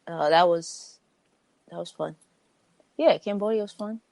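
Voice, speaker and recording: A woman's voice: lowish voice, a little slow, gravelly, a little mellow, no room sound. A woman chatting informally and slangily with a friend on a podcast. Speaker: podcast speaker. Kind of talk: casual chat between friends.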